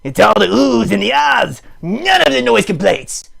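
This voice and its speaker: A man voicing a silly, excitable puppet schemer in an improvised puppet show, with a raspy, gravelly voice and animated, mock-serious delivery. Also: sits high, normal speed, full character voice. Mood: playful.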